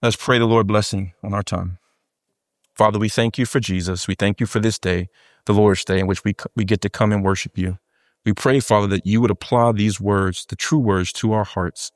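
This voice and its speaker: A man with a deep voice, a pastor preaching an earnest expository sermon in church and turning aside now and then to talk to the congregation. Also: fairly even intonation, normal speed, dry recording, clean studio sound. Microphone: microphone.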